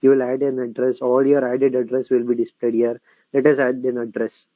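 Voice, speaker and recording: A man with an Indian English accent talking through a screen-recorded software tutorial. He explains each step, repeats phrases while he types, and keeps checking in with "correct?" and "okay?". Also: medium pitch, flat delivery, fairly quick, no echo. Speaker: software instructor.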